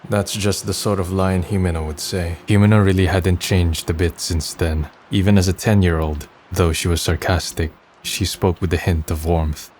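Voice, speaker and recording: A man's voice: low-pitched, steady tone, normal speed, few pauses, darkish tone, a little hiss or hum, natural voice. An actor voicing a wry, pensive, melancholy young man in a manga dub, musing and understated. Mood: wistful narration. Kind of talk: manga dub dialogue and narration.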